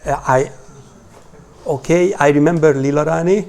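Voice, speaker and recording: A man in his forties with a Hungarian accent, talking informally to a small religious class in a hesitant, halting way with pauses. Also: on the high side, steady tone, creaky, a little mellow. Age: 40s. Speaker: religious teacher.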